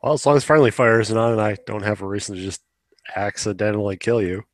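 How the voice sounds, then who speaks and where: A woman's voice: very deep voice, steady tone, fast, no echo, clean studio sound. A woman chatting casually as a co-host, giving short, laid-back reactions. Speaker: podcast co-host.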